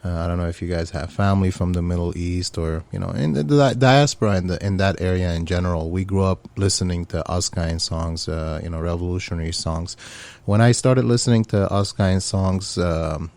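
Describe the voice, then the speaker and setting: A man's voice: low-pitched, fairly quick, darkish tone, no echo. A middle-aged man from Syria, a musician being interviewed, speaking earnestly with many fillers. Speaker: musician guest. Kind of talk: casual interview chat.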